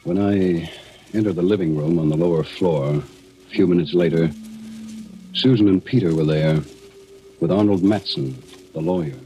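An actor playing a steady, probing amateur sleuth in a 1940s radio mystery, on a noisy old recording. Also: male voice, low-pitched, normal speed, long pauses, lightly acted. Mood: narrating. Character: steady, suspicious male amateur sleuth, narrating his story. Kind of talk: 1940s radio acting and narration.

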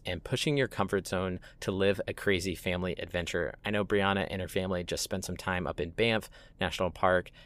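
A man hosting a podcast, giving a friendly, admiring introduction to a guest's talk. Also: deep voice. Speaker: podcast host. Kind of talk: episode introduction.

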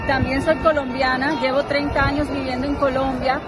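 A woman's voice: sits high, flat delivery, normal speed. A woman at a street protest, giving an earnest interview and listing grievances firmly. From Cuba. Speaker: protester at a march. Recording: busy crowd noise outdoors.

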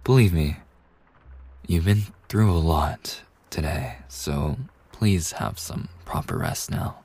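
Low, husky, gentle young bartender played by a man. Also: lively intonation, slow, exaggerated voice. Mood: soft, soothing. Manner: gentle, reassuring, slightly bashful. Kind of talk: intimate ASMR roleplay acting.

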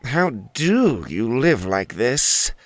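A man's voice: mid-range voice, big pitch swings, exaggerated voice. A man voicing a pompous, grumpy male turkey who complains with a haughty, sneering drawl. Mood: scornful. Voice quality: haughty, sneering, drawn-out delivery.

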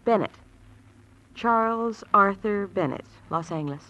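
An actress playing a brisk, efficient hospital switchboard operator in 1940s radio drama, clipped and businesslike. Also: medium pitch, expressive, dull audio, close to an everyday voice. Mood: urgent, factual. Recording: noisy old radio recording.

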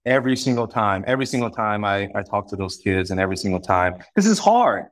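Earnest, lower-voiced man from northeast China. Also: expressive, fast talker. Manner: earnest, reflective, repeats words as he restarts. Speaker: guest, company founder. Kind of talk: casual interview conversation.

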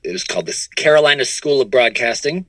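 A man's voice: mid-range voice, expressive, fast talker, a bit bright, dry recording, rough recording. A middle-aged man being interviewed, telling his story in a casual, conversational way. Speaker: interview guest, comedy writer and voice performer.

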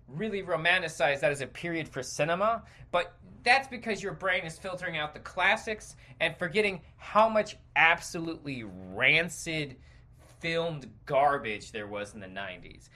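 A man hosting a podcast, delivering a rambling, sardonic and exasperated opinion rant with halting, emphatic phrasing. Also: fairly high pitch, sharp tone. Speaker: podcast host.

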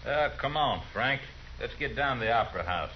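An actor playing a lazy, hungry young gang member in a 1950s radio drama, talking in an offhand drawl. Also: male voice, medium pitch, animated, normal speed, natural voice. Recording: noisy lo-fi 1950s radio broadcast.

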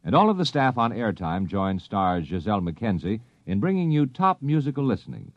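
Very animated lowish male radio announcer. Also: moderate pace, no room sound. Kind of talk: 1950s scripted radio promo announcing. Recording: dull, dry vintage radio recording.

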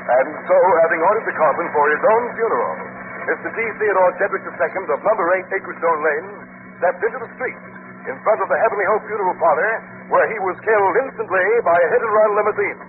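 Tinny old radio, man playing newscaster, high voice, rapid-fire, declamatory, sensational 1940s announcing. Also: lightly acted. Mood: urgent, sensational. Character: brisk, dramatic male radio newscaster. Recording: tinny old radio broadcast, faint noise.

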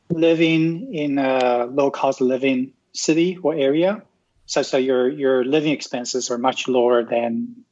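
A man's voice: mid-range voice, darkish tone. Explanatory man on a dull lo-fi line. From Taiwan. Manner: explanatory, frequent "right?" tag questions and restarts. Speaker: podcast guest. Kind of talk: casual interview answers.

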